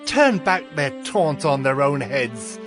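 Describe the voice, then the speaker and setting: A man's voice: medium pitch, big pitch swings, takes it slowly. A man narrating scripture from a script in an earnest, declamatory dramatic style. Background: background music.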